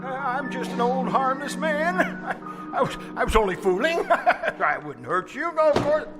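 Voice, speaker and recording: A man's voice: extremely high pitch, sing-song, measured pace, heavily acted. A man playing a sinister, cowardly old murderer in the theatrical style of 1930s horror radio drama. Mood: frightened pleading. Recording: live radio play with loud music underneath.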